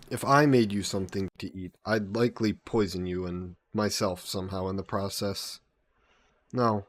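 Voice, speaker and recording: A man voicing a gentle, modest aristocratic vampire in an intimate audio roleplay, speaking politely and formally with a wistful streak. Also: fairly low pitch, natural voice. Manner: polite, formal, softly apologetic phrasing. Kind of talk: scripted one-sided audio roleplay, intimate and conversational.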